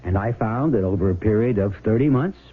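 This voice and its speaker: Low-voiced, measured small-town sheriff. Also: male voice, animated, breathy edge. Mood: firm, official. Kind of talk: 1970s radio drama acting. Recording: muffled, noisy old radio broadcast.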